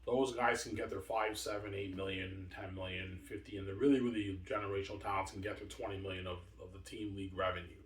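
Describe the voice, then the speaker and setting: A man's voice: deep voice, flat delivery, quick pace, hardly any pauses. A man in his forties rambling in a long monologue, repeating himself, stumbling over words and answering his own questions. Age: 40s. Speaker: video host. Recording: echoey room, far from the mic.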